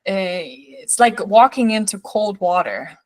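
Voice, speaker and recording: A woman's voice: medium pitch, unhurried, a little mellow, dry recording, poor audio. A woman from Denmark hosting a podcast interview, talking in a rambling way with many restarts and appreciative remarks. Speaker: podcast host.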